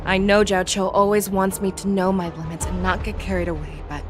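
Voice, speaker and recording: A woman's voice: medium pitch, level tone, fairly quick, natural voice. A woman playing a resolute, noble young general in a video game, with a firm, earnest, commanding delivery. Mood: determined. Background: game music.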